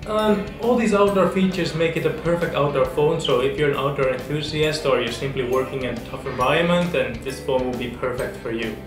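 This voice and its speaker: A young man presenting an unboxing review to camera, seated at a table in an indoor studio. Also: mid-range voice. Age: young adult. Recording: roomy echo, music behind. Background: background music.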